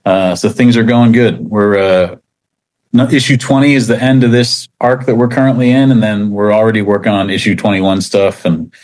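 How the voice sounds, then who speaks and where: A man chatting casually as an interview guest, talking about his work in a halting, laid-back way. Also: lowish voice, steady tone, a little mellow, poor audio. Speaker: interview guest, comic book writer.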